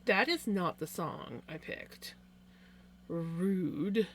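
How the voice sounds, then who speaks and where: A woman with a naturally loud voice hosting a livestream, talking casually in a chatty, self-deprecating way. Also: fairly low pitch, extremely slow, a little hiss or hum. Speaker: live stream host.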